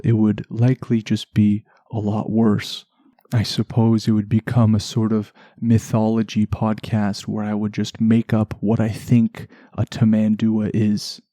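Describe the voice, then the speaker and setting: A man hosting a relaxation podcast in a soft, soothing voice, chatting gently and without hurry, lulling enough to send listeners to sleep. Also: on the low side, dry recording, studio quality. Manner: gentle, unhurried, chatty asides. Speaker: podcast host.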